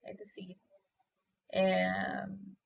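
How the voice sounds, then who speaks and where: Slow bilingual woman teacher, gravelly. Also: mid-range voice, monotone, highs cut off. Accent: Spanish-speaking. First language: Spanish. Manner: patient, switching between English and Spanish translations. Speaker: English teacher. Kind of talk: recorded lesson walking through a worksheet.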